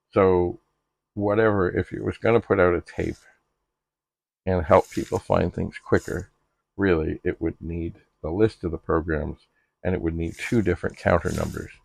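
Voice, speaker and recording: Middle-aged man, low creaky voice, halting casual demo narration, droll wording, talking to himself. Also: no room sound. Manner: halting, musing aloud to himself and the gear. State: frequent coughing and throat clearing. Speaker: retro computer hobbyist and video host. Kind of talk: casual hands-on demonstration narration.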